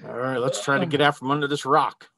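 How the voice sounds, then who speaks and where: A man's voice: mid-range voice, fairly even intonation, fast talker. A man chatting casually as a player in a tabletop game. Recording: clearly noisy microphone with clicks.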